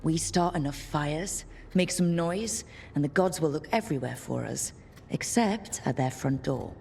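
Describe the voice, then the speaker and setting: A woman's voice: low-pitched, animated, a little slow, creaky, a little mellow, slight background noise, exaggerated voice. A woman playing a resolute, plain-spoken young team leader in a video game. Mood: determined. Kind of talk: video game dialogue acting.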